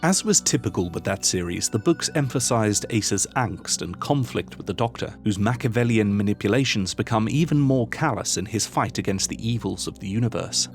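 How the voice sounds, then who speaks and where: A man delivers scripted analytical narration in a low voice. His phrasing is formal, literary and measured, and faint music plays behind him. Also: expressive. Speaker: video essay narrator, reviewer.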